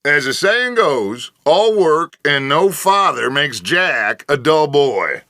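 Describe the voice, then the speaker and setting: A wry Old West outlaw in a video game who needles with theatrical relish. The delivery is leisurely, very animated in pitch and bright, recorded dry. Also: male voice, slow pace, dry recording, heavily acted. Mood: teasing, droll. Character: wry, teasing male Old West gang wit. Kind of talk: video game character barks.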